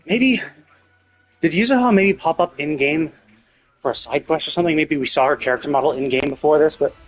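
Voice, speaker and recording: A man's voice: a little high, a little fast, faint music behind. A man casually commentating on a game livestream, rambling and thinking aloud as he goes. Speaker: live streamer.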